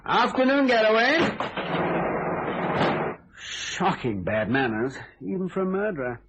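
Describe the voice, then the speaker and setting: An actor playing a brash, sardonic police inspector in a radio thriller, clipped and commanding. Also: male voice, high-pitched, wildly swooping pitch, a little slow, heavy background noise, heavily acted.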